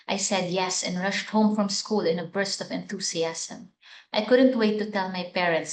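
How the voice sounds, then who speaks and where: A woman reads her own writing in a fairly low voice. The sound is noticeably roomy and echoey, as in a literary reading. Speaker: writer reading own short story. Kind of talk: literary reading of prepared text.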